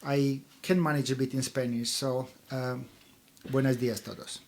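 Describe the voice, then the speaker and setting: Hesitant Albanian-native man, measured. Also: medium pitch, level tone, darkish tone. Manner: measured, hesitant with many fillers. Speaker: charity chief executive. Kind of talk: radio interview answers.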